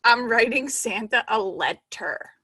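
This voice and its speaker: A woman's voice: on the high side, lively intonation, measured pace, bright, forceful tone, slightly rough, dry recording. A woman in her twenties hosting a podcast, joking around in slangy, casual chat. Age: 20s. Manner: slangy, joking, plays characters in quotes. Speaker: podcast host.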